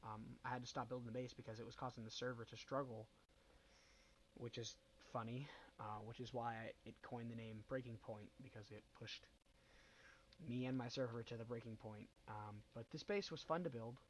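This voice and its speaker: A man's voice: medium pitch, steady tone, normal speed, slight background noise, low-quality recording. A young man with a bad cold hosting a casual game walkthrough, rambling and hesitant with many ums and self-corrections. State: nasal from a cold. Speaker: gaming channel host.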